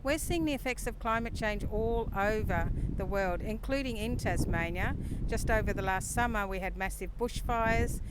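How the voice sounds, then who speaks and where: A woman's voice: mid-range voice, normal speed, noisy background. A woman speaking plainly and steadily in a documentary. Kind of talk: documentary talk.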